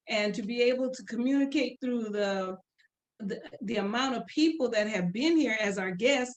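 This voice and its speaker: A woman with a slightly high voice talks about her community's museum exhibits. She speaks hesitantly and informally, filling her pauses with "um" and "uh" as she searches for words. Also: rough recording. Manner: hesitant, many fillers. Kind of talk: informal guest talk.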